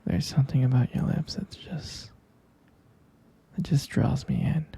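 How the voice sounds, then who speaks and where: ASMR roleplay boyfriend, male actor: tender and devoted, hushed and intimate, gravelly fry, unhurried delivery. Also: mid-range voice, steady tone, full character voice. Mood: adoring. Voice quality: breathy, husky whisper. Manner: slow, doting, lingering over words. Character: tender, devoted boyfriend comforting his pregnant partner.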